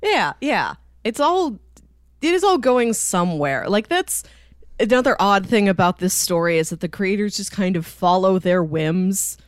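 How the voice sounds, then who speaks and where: Woman podcast host, medium pitch, chatty and keen, explains stuff casually, really dry sound. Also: animated, a little slow, no echo. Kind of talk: casual discussion of a TV series.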